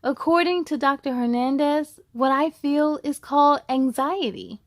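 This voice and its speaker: High-pitched woman reading to children. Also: a little slow, no room sound, studio quality. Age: adult. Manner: encouraging, addresses listeners directly. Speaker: host of a children's read-aloud show. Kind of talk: storybook read-aloud with chatty asides to young viewers.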